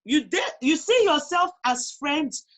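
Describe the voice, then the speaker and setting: Female interview guest, Nigerian accent, high voice, conversational yet emphatic delivery. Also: lively intonation, measured pace. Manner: emphatic, repeats phrases for emphasis. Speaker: guest on a talk show. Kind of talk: conversational interview, personal advice.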